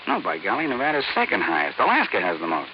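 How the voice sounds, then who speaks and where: An actor playing a flustered but agreeable sergeant husband in a vintage radio domestic sketch, folksy and hesitant. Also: male voice, medium pitch, huge pitch swings, quick pace. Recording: muffled old radio recording, faint hiss.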